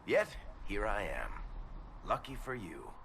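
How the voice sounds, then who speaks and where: A man's voice: fairly low pitch, sing-song, measured pace, a bit bright, slight background noise, exaggerated voice. An actor playing a wry, roguish crossbowman in a fantasy video game. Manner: wry, sardonic. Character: roguish, wisecracking male crossbowman, fond of his weapon.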